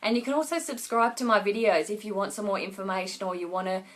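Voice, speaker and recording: A woman's voice: mid-range voice, fast talker. Rambling woman with a fading voice. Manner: rambling, many fillers and restarts. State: losing her voice with a cough. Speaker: online business coach. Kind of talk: casual Facebook Live talk to viewers.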